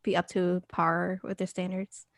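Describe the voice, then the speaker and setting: A woman's voice: fairly low pitch, flat delivery, unhurried, no room sound. Chatty young woman, dry close mic. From United States. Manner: chatty, many fillers, laughs easily. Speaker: podcast co-host. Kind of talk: casual chat.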